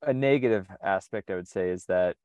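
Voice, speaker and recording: A man originally from the United States talks in a conversational interview, his voice sitting on the low side. He is reflective and methodical, often circling back and restarting his sentences. The sound is dry and close, with little room around it. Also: fairly quick, darkish tone, clear, resonant tone, no echo. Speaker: sailor and interview guest.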